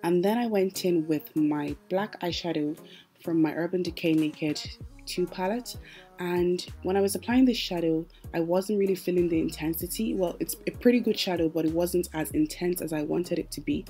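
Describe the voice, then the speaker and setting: A woman's voice: fairly low pitch, moderate pace, warm tone. A woman giving a casual makeup tutorial voice-over as host, chatty and explanatory, speaking directly to her viewers. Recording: music playing behind the voice. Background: background music.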